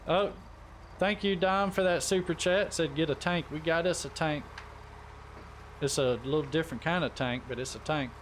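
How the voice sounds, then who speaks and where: A man's voice: on the high side, moderate pace. A man chatting casually as he hosts a live game stream, talking to teammates and viewers. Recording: noisy game-stream audio, vehicle sounds behind.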